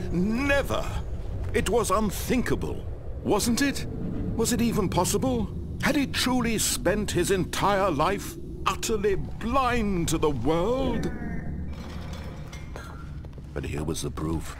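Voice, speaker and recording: A man's voice: on the high side, background noise. A man playing an omniscient, theatrical storyteller in a video game, narrating a hero's fate in carefully enunciated, storybook style. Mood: dramatic disbelief.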